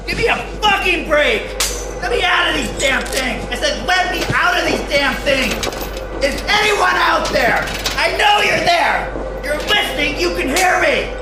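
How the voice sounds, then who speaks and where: A man's voice: extremely high pitch, lively intonation, moderate pace, vocal fry, pauses often, distant mic, exaggerated voice. An actor playing a deranged, raging male psychiatric patient, desperate and profane, in a horror audio drama. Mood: enraged, desperate. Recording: roomy echo, off the mic, music.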